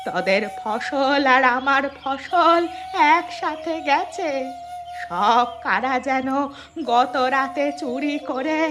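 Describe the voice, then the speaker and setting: A woman acts out an old village granny from a moral-story cartoon. Her voice is high and slow, drawn out into bitter laments and curses. Clear music plays behind her. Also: expressive. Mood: bitter, scolding. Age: older. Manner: lamenting, drawn-out wailing and scolding. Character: wailing, cursing old village grandmother.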